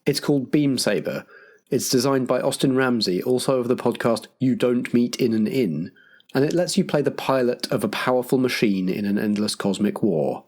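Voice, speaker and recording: A man's voice: medium pitch, moderate pace, darkish tone. Man hosting with scripted announcements. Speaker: podcast host and compere.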